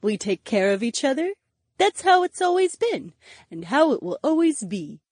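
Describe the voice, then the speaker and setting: A woman's voice: high voice, big pitch swings, moderate pace, darkish tone, no room sound, clean studio sound. A woman voicing a caring, anxious young woman in an audiobook, in an earnest, fretful manner. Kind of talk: audiobook character acting.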